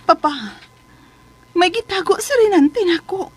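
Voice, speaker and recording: A woman's voice: very high voice, animated, unhurried. A woman acting in a Philippine radio drama as a troubled young wife, defensive and plaintive, with a Filipino accent. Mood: worried, complaining.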